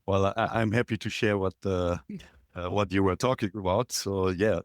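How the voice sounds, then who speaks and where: A middle-aged man from Germany with a German accent, a podcast guest calling in remotely and telling his story in a reflective, halting way with many fillers. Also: low voice, fairly even intonation, dry recording, clean studio sound. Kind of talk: casual interview, storytelling. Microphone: remote call.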